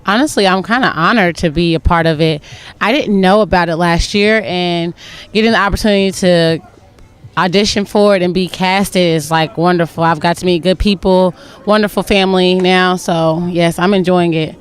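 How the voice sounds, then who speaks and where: A soft-spoken young woman with a smooth, clear voice. She answers a bit hesitantly and sometimes lets her sentences trail off. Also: fairly low pitch, normal speed. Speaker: stage actress. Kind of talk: casual interview.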